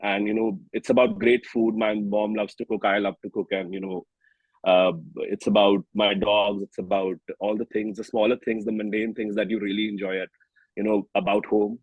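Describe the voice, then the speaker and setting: A man's voice: on the low side, level tone, normal speed, breathy edge, dry recording, lo-fi sound. A man in his early thirties with an Indian accent, chatting informally as a singer-songwriter in an interview. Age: early 30s. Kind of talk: casual interview chat.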